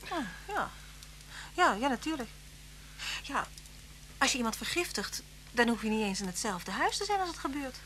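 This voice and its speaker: A woman's voice: fairly high pitch, very animated, normal speed, pauses often, sharp tone. An actress playing a hospitable, chatty woman in a naturalistic radio drama. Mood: musing. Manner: chatty, solicitous. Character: hospitable, chatty woman living alone in cottage. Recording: noisy old radio drama recording.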